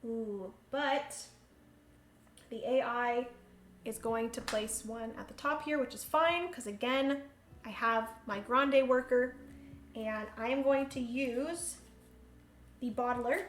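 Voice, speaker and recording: A woman's voice: on the high side, takes it slowly, pauses often. A woman hosting a solo board game livestream, chatty, thinking aloud and laughing often. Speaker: host. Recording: roomy room echo, faint hum.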